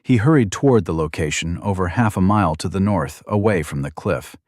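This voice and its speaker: Dude reading a fantasy audiobook, lowish voice, steady, super dry sound. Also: male voice, fairly quick, no room sound. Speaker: audiobook narrator. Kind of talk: scripted narration of a fantasy novel.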